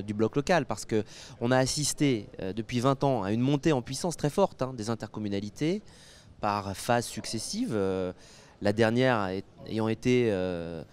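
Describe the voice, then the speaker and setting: A man in his thirties with an accent from France, giving analytical interview answers as an academic expert in a measured, halting way with many pauses. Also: lowish voice, darkish tone. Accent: French from France. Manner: hesitant, measured, with frequent pauses and repeated words. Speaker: guest expert, academic geographer. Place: indoor interview set at a convention. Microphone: handheld microphone.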